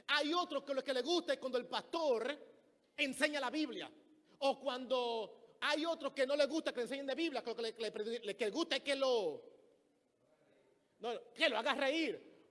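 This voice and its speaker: A man's voice: very high voice, fairly bright tone. A man with a Dominican Spanish accent preaching a sermon in a church, telling stories and acting out the people he quotes. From Dominican Republic. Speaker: pastor. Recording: long reverb of a church hall.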